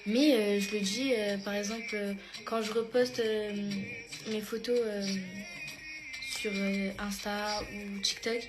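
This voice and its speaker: A woman's voice: mid-range voice, level tone, slow, poor audio. Chatty teenage girl over background music. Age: teens. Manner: chatty, many fillers, addresses followers as "mes stars". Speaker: beauty pageant contestant vlogger. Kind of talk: get-ready-with-me vlog, answering follower questions. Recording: music playing under the voice.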